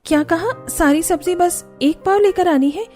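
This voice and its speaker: A woman's voice: very high voice, normal speed, darkish tone, soft background music, pitched above the performer's own voice. A woman voicing a weary young Indian daughter-in-law in a cartoon story, complaining plaintively. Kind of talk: Hindi cartoon story dialogue.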